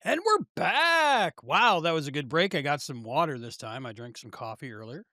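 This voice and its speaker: Male podcast co-host, slightly high and forceful bright voice, casual discussion style, dry studio-like sound. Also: big pitch swings, moderate pace, no echo.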